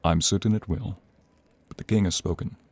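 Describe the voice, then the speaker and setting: A man playing a devoted, regal king in love in a romantic ASMR audio drama, speaking intimately in a breathy voice with courtly phrasing. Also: steady tone, moderate pace, darkish tone, dry recording, natural voice. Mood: regal, formal. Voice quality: deep, breathy, often whispering.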